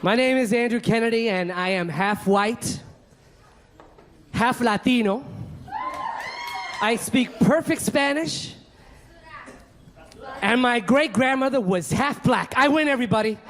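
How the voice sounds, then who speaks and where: A man from Colombia doing a stand-up set on a comedy club stage, loud and exuberant as he works the crowd. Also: extremely high pitch, a little slow, long pauses, background noise.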